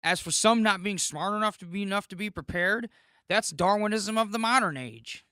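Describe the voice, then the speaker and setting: A man's voice: high voice, normal speed, sharp tone, smooth, no echo, studio quality, voice pushed up. A man voicing squabbling online commenters in a comic skit, with an exaggerated, scolding and sarcastic delivery. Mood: smug, indignant.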